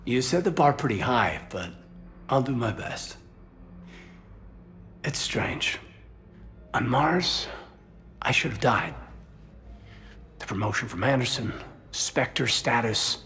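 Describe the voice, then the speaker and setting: An actor playing an earnest, soft-spoken male soldier who has just been made an elite agent. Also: medium pitch, expressive, slow pace, pauses often, slight background noise, full character voice. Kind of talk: video game voice acting.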